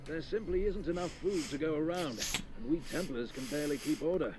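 An actor playing a grim, weary templar knight in a video game, speaking gravely and formally. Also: male voice, fairly high pitch, fairly quick, music in the background, close to an everyday voice.

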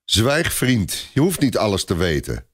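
A man's voice: on the low side, big pitch swings, normal speed, no echo, clean studio sound. A man voicing a curt, surly, secretive medieval army commander in a radio story. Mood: stern.

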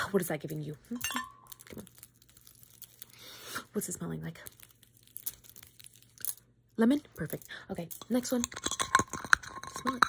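A woman in her twenties doing an ASMR doctor's exam role-play, slipping between soft talk and whispering, close to the mic in a room at home. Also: mid-range voice, vocal fry, heavy background noise. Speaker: ASMR creator playing a doctor. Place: small room at home, indoors.

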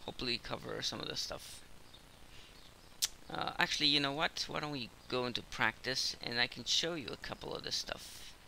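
A man's voice: mid-range voice, normal speed, gravelly, sharp tone, slight background noise, low-quality recording. A man with a cold, casually commenting on his own gameplay while he plays, rambling with lots of fillers. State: hoarse from a cold.

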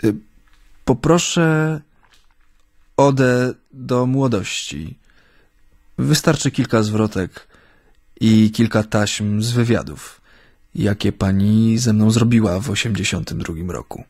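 A man's voice: lowish voice, a little mellow, no room sound, clean studio sound. An actor playing an ailing, middle-aged Japanese man settled in Poland, courteous and reflective, speaking hesitantly in a radio drama. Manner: halting, hesitant, searching for words. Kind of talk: naturalistic radio drama acting.

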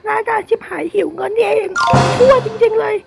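A woman voicing an indignant, foul-mouthed villager in a game skit, scolding in a strained, drawn-out squeak. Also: gravelly, over loud music, full character voice. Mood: scornful. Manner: scolding, slow drawn-out delivery. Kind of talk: improvised game role-play skit.

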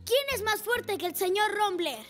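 An eager, polite little cartoon boy motorcycle. The voice is extremely high and childlike, with faint music underneath. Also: lively intonation, moderate pace, fairly bright tone. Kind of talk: animated children's dub.

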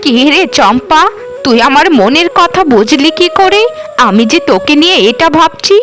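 A woman voicing an elderly lady of the house in a cartoon, nagging but fond, with drawn-out phrases. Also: high voice, big pitch swings, a bit bright, faint music behind. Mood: fond grumbling. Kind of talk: cartoon voice acting.

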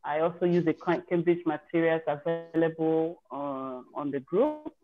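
A woman's voice: low voice, lively intonation, normal speed, music in the background. Woman on a zoom call sharing how she prepped, chatty and candid, tinny phone-ish sound. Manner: chatty and candid, with self-corrections. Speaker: participant sharing her exam success story. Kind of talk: casual storytelling on a group video call. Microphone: video call.

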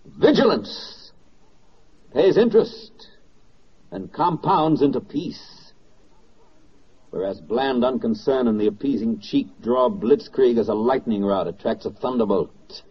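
Husky, oratorical American radio narrator. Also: male voice, mid-range voice. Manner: oratorical, declamatory, emphatic. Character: solemn, stirring male narrator addressing soldiers and sailors. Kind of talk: 1940s radio drama narration. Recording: dull old 1940s radio recording.

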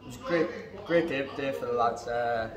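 A man's voice: medium pitch, moderate pace, crowd noise. A young man, a football team captain, answering post-match questions in a plain, matter-of-fact way. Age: young adult. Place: indoors in front of a club sponsor backdrop. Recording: echoey room, mic at a distance.

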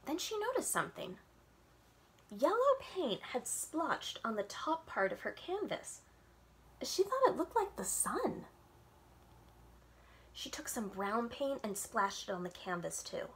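A woman in her thirties reads a children's book aloud to a webcam in a room with bookshelves. She is animated, with wide pitch swings and a slow, deliberate pace. Her voice has a slight gravelly fry. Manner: expressive, animated reading. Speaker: children's librarian. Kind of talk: storybook read-aloud. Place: home room with bookshelves, indoors. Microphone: webcam at desk distance.

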